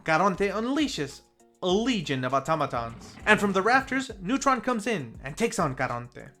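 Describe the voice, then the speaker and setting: Review show narrator of unclear gender, mid pitch, animated intonation, casual and playful scripted recap, faint music underneath. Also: normal speed. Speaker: film review host.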